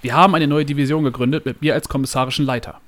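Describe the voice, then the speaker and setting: A greedy merchant boss in a storybook tale, acted out by a male narrator. He is bombastic and bossy, pushing his voice up high and speaking with pompous force. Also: animated, fairly quick, natural voice. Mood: commanding. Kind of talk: dramatic audiobook storytelling.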